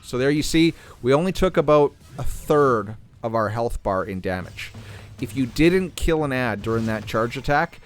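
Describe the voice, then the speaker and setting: Guy narrating his mobile game run, relaxed, keeps clearing his throat, voice going a bit. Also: mid-range voice, normal speed, a little hiss or hum. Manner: relaxed, thinking aloud while playing. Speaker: gaming channel host. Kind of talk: casual gameplay commentary.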